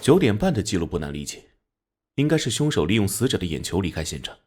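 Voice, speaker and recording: An actor playing a no-nonsense male police captain in an animated crime drama, speaking in a firm, matter-of-fact manner. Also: sits low, fast, over music.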